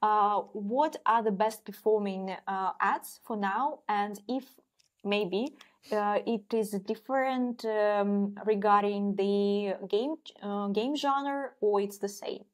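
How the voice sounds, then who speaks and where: A woman's voice: medium pitch, slow. A woman in her twenties hosting a business podcast, asking careful questions with many hesitations. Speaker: podcast host. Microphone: wired earphones on a video call.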